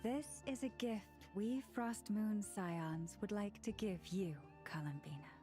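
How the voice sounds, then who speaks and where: A woman voicing a gracious, devout priestess in an anime game, speaking in a formal, measured, reverent way. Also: medium pitch, warm tone, soft background music, natural voice. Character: gracious, devout priestess welcoming her goddess.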